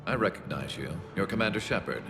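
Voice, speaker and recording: A man's voice: low-pitched, lively intonation, fast talker, music in the background. A man voicing a weary, guarded alien refugee leader in a video game, speaking formally and warily. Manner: formal, wary.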